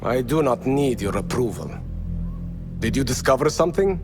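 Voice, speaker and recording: Man playing a fierce rebel leader, mid-pitched voice, curt and forceful. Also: animated, normal speed, over music, natural voice. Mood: commanding.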